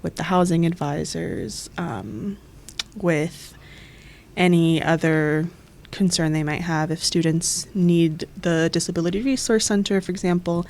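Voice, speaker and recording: A woman's voice: on the low side, a little slow, vocal fry, a little mellow. A young woman from Florida, an exchange coordinator, explaining her job in friendly detail in an interview. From Florida, USA. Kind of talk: interview answers.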